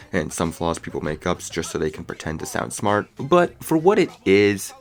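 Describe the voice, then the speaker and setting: A man with a fairly low voice delivers an opinionated movie review over faint music. He hosts in an emphatic way, leaning on and stretching the words he wants to stress. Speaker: video reviewer and host. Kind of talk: opinionated film review commentary. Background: music under the voice.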